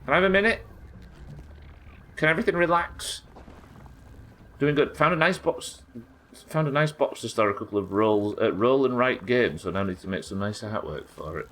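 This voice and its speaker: A British man with a naturally croaky voice, chatting casually and swearing while streaming a game. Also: medium pitch, animated, normal speed, pauses often, a bit bright, a little hiss or hum. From United Kingdom. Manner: chatty, swears, reads chat aloud. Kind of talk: casual gameplay chat.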